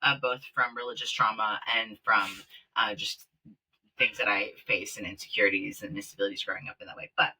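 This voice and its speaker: Bright-voiced chatty man from Kenya. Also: on the low side, fairly quick, slightly rough, distant mic, rough recording. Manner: chatty, lots of fillers, lively when retelling stories. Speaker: podcast guest, company founder. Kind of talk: casual interview chat. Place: small closet studio, in person.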